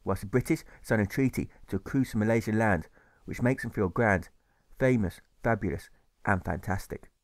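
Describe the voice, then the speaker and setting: A man narrating a rhyming novel as an audiobook, in measured storytelling that leans on the rhymes. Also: on the low side, dry recording, clean studio sound. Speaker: author narrating his audiobook.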